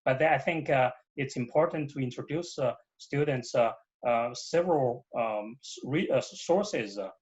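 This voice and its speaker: A man language teacher on a video call panel who speaks haltingly, with many uhs and restarts. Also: mid-range voice, darkish tone, lo-fi sound. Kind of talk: webinar panel discussion.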